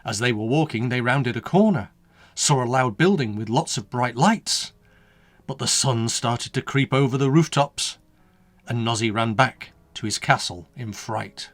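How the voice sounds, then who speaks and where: A man, the author, reading his own rhyming storybook poem aloud with an expressive, sing-song delivery. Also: medium pitch, measured pace, dry recording.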